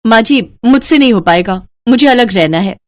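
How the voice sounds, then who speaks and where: A woman's voice: a little high, lively intonation, normal speed, dry recording, studio quality, close to an everyday voice. A woman voicing a put-upon, plaintive young newlywed daughter-in-law in a cartoon story. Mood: fed up.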